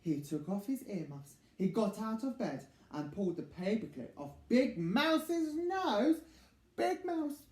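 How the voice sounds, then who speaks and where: Male children's story reader: high-pitched for a man, slow, theatrical, dramatic pauses, echoey room. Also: sing-song, a little mellow. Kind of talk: expressive picture-book narration.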